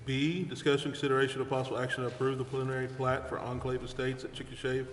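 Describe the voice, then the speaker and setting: A man's voice: medium pitch, little pitch movement, rapid-fire. Man chairing a meeting in an echoey hall. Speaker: meeting chair at a city council. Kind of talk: formal motion reading and unscripted meeting discussion. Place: indoor council chamber. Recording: echoey hall with background noise.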